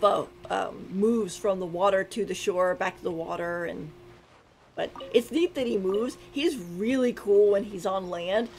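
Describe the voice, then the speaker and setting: A woman's voice: mid-range voice, a little hiss or hum. A woman giving casual, musing live commentary as she streams a video game.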